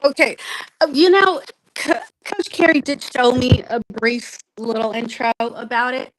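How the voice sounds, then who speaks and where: A woman's voice: sits high, steady tone, unhurried, low-quality recording. A woman from the United States giving casual, conversational answers as a guest on a talk show. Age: adult, doctoral student. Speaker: non-profit founder, show guest.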